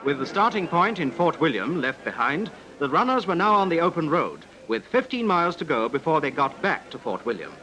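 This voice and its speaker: A man's voice: fairly high pitch, big pitch swings, brisk, few pauses, pushed and bright, low-quality recording. A man narrates a scripted 1950s newsreel in a formal, dramatic manner. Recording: vintage 1950s newsreel soundtrack, music.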